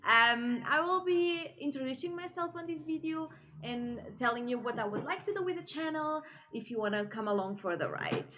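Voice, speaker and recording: A woman's voice: sits high, fairly bright tone. A young woman from Portugal chatting casually to camera about her reading, with many fillers and frequent laughs. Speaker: book vlogger.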